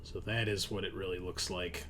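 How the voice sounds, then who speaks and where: A man's voice: low-pitched, steady tone, normal speed, background noise. A man hosting a tabletop game as game master, chatting casually and narrating in informal language.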